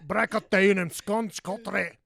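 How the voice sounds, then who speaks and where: A young man, a comedian guest on a casual podcast, joking and self-deprecating with quick silly voice bursts. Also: sits high, steady tone, creaky, a bit bright.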